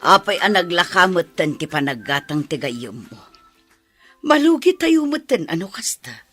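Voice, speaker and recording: Aggrieved villager, forceful bright tone, swinging pitch, Ilocano accent, close dry sound. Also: female voice, big pitch swings, measured pace, soft background music. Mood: indignant. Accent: Filipino, Ilocano. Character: aggrieved adult villager haggling over produce prices. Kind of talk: Ilocano radio drama acting.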